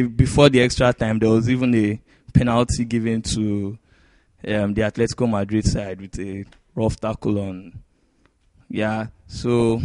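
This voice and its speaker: A man co-hosting a sports podcast, giving match analysis in halting, short phrases. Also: fairly low pitch, a little mellow, dry recording. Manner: halting, pieces sentences together in short phrases. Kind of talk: sports discussion.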